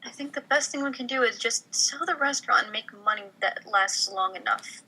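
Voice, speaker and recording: A woman's voice: on the high side, fairly quick, pushed and bright, low-quality recording. A woman voicing an earnest restaurant owner in a casual comedy script read. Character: earnest young restaurant-owning sister.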